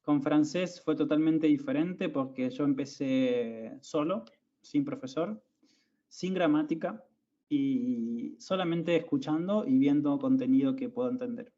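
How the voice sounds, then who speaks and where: A man's voice: on the high side, unhurried, mellow. A young Argentine man hosting a podcast, chatting casually with lots of fillers and restarts. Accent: Argentine Spanish. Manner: hesitant, many fillers and restarts. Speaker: podcast host. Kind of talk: casual conversation. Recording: dull lo-fi audio.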